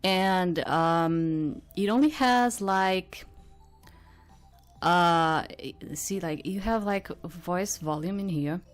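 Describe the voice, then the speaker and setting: A woman giving casual game commentary and reading aloud, thinking out loud in a hesitant, rambling way. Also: lowish voice, extremely slow, soft background music. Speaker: host of a game playthrough.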